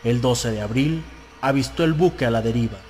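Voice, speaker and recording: A man's voice: mid-range voice, a little fast, a little mellow. A man narrating an audiobook, reading out a newspaper report in a dramatized style. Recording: long hall reverb, music behind. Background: music.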